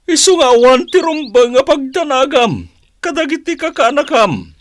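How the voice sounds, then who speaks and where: Radio drama village chief, fatherly and reassuring, very high lively voice, played by a woman. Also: moderate pace.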